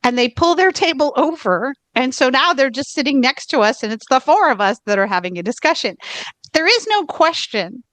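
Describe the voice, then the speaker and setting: A Southern-drawling woman spins a travel tale in loose, rambling podcast chat, pausing on "okay" as she goes. Her voice sits fairly high. The sound is close and dry, with no room around it. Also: very animated, a bit bright, no echo. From United States. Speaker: podcast co-host. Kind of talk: casual storytelling chat.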